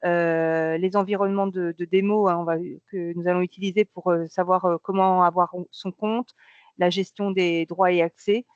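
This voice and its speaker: A woman's voice: medium pitch, no echo. A woman opening a training webinar as a client relations manager, speaking hesitantly with many fillers over a video call. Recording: dull lo-fi video call audio.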